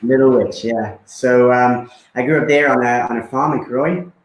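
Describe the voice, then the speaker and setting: Man from Zimbabwe, thirties, relaxed talk with fillers, roomy sound. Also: mid-range voice, normal speed. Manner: relaxed, with many fillers and repeats. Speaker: guest. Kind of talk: casual conversation.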